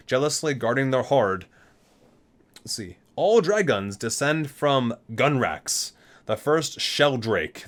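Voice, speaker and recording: A man reading aloud dramatically as a video creator, drawing out titles and names and dropping in casual asides. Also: medium pitch.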